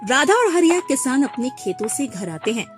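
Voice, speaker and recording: A woman narrating a scripted moral tale as an expressive storyteller. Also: sits high, moderate pace, soft background music.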